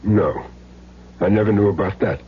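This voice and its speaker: A man's voice: on the low side, moderate pace, close to an everyday voice. An American man acting a cagey small-time hired gun in an old radio crime drama, streetwise and earnestly insisting he is clean. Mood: shaken. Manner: streetwise, plain slangy talk, earnest self-justifying. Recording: dull, noisy old radio recording with hum.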